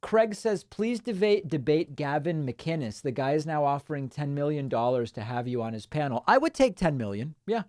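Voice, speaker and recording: A man's voice: a little high, sing-song, normal speed, few pauses, a little mellow, no echo, clean studio sound. A man from Argentina, a native Spanish speaker, hosting a political livestream and casually reading viewer comments aloud with frequent ums and uhs.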